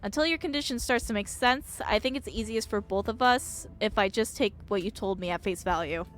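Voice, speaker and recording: A woman's voice: mid-range voice, brisk, natural voice. A woman voicing a stoic older female doctor from a visual novel, skeptical, dry and matter-of-fact. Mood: skeptical, clinical.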